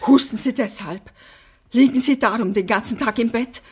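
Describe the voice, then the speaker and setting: An actress playing a prying, bluntly provocative woman neighbour in a radio drama, with a husky voice. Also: a little high, moderate pace, a little mellow. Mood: probing. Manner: questioning, provocative. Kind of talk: German radio drama acting.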